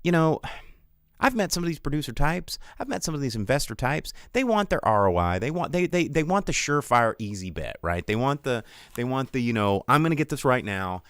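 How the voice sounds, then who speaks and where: A man talks off the cuff like the host of a live show, opinionated and slangy. His pitch moves around a lot as he slips into imagined quotes. The sound is dry and close, with casual, conversational phrasing. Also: big pitch swings, a little fast, fairly bright tone, clean tone, dry recording.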